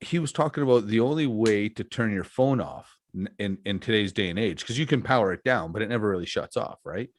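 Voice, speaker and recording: Forties male host, lower voice, dry close sound, loose rambling humor. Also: fairly quick, hardly any pauses, dry recording. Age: 40s. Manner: jokey, self-deprecating, rambling. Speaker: podcast host, wrestler. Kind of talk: casual chat.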